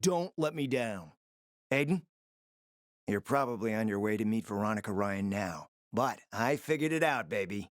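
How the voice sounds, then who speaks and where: A man's voice: on the low side, animated, normal speed, no echo, clean studio sound, close to an everyday voice. A man playing a cunning spymaster in a video game, speaking in a theatrical, urgent and sardonic way. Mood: reproachful pleading. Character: cunning, theatrical male spymaster scheming over a radio. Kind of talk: dramatic video game voice acting.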